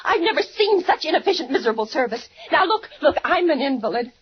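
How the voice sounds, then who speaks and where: A woman's voice: sing-song, quick pace. An actress playing a frantic bedridden woman alone with a telephone, haughty and hysterical, in a 1940s radio thriller. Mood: indignant. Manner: shrill, haughty and hysterical, sobbing breaks. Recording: muffled old radio broadcast.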